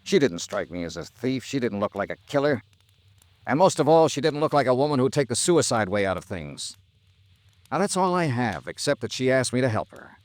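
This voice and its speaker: An actor playing an earnest, insistent American investigator in an old-time radio drama, speaking in urgent, clipped phrases. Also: male voice, mid-range voice, very animated, a little fast, no room sound, natural voice. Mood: earnest, pleading. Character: insistent, earnest male insurance investigator, gentle but hard-boiled.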